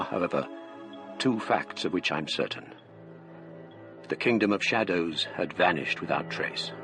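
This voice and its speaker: An actor playing an educated English gentleman who narrates a ghost story in measured, formal radio-drama style with an English accent. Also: deep voice. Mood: solemn.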